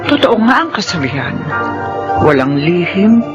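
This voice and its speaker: A woman playing a loving, worried middle-aged mother who gently scolds, with a Filipino accent, in radio drama style. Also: lowish voice, a little slow, lo-fi sound, over loud music. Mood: solemn narrating. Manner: fond scolding, animated.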